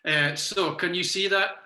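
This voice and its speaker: A man's voice: high-pitched, bright, forceful tone. A man in his sixties with a Scottish accent, giving an online talk as a teacher with frequent ums and uhs. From Scotland. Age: 60s. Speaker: macrobiotics teacher. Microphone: video call. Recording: roomy echo.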